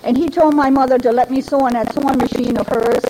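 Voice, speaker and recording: Older lady, high voice, chatty folksy storyteller at a meeting, bit of humor. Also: steady tone, quick pace, noisy background. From Rhode Island, New England. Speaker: Al-Anon member giving a talk. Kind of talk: personal recovery story told to a meeting. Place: speaking to a gathering.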